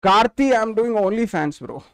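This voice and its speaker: A man's voice: sits high, lively intonation, normal speed, fairly bright tone, smooth, no room sound, studio quality. A nineteen-year-old man with an Indian English accent, casually chatting with viewers while streaming a football game and reading their messages aloud. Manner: chatty, answers chat questions directly. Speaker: gaming streamer.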